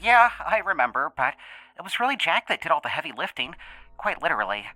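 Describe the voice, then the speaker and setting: A man's voice: on the high side, very animated, fast talker, sharp tone, dry recording, soft background music, heavily acted. A man voicing an easygoing, chatty male interviewee in an audio drama, with a cheeky, slightly stammering delivery. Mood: open, casual.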